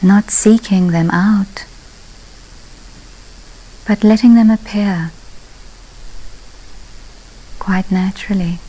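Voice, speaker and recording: Meditation guide, female: dark relaxed voice, very slow delivery, calm, softly breathy at phrase ends. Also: medium pitch, poor audio. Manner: calm, unhurried, long pauses between phrases. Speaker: meditation teacher.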